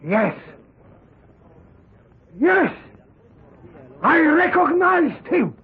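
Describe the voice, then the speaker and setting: An actor playing a confused, cowering Polish immigrant on trial, stammering and halting in a 1970s radio drama. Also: male voice, very high-pitched, very animated, narrow, tinny audio, heavily acted. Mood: hesitant yielding. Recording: thin band-limited vintage radio recording.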